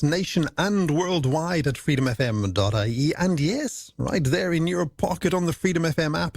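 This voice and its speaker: A man's voice: on the high side, gravelly, darkish tone. A man presenting a late-night music radio show in a chatty, scripted style, with playful, wordy patter aimed at the listener.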